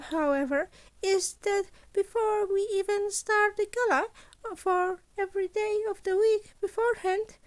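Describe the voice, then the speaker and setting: A woman's voice: very high-pitched, darkish tone, pitched above the performer's own voice. A woman voicing a polite, scheming animal school principal in a story, speaking formally and precisely as if lecturing. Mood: agreeable, explaining. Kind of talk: scripted story character voice.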